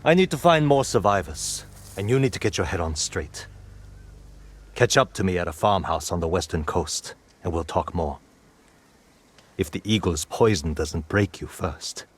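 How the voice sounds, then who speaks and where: An actor playing a wary, hard-bitten island raider leader in a video game, with a rough, husky voice and a blunt, challenging manner. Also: male voice, sits low, lively intonation, moderate pace. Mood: skeptical. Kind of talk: dramatic video game cutscene acting.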